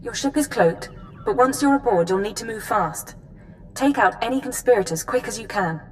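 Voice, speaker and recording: An actress playing a precise, determined intelligence handler, speaking in a controlled, businesslike way in a video game. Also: female voice, on the high side, lively intonation, moderate pace, darkish tone, big-room sound, a little hiss or hum, natural voice. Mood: focused, businesslike. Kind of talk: video game drama acting.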